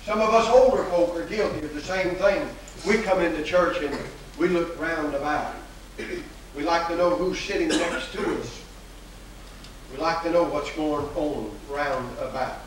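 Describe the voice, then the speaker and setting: Older man preaching in an echoey church. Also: on the high side. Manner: folksy, repetitive, emphatic preaching with filler uhs. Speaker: pastor. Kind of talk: sermon. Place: large church sanctuary at a pulpit. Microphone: pulpit microphone, far camera. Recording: echoey church hall from far off, hissy 1980s video.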